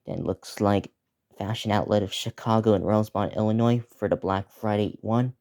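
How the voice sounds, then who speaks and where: A teenage male video creator giving a casual, unscripted preview, talking in a halting, repetitive way as he lists things. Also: fairly low pitch, steady tone, a little mellow. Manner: halting, repetitive, listing place names.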